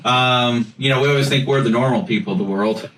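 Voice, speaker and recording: A man's voice: mid-range voice, a little fast, a bit bright, roomy sound, far from the mic, a little hiss or hum, poor audio. A man with a Chicago accent hosting a casual podcast chat, laughing often and rambling in a self-mocking way. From United States. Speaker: podcast host.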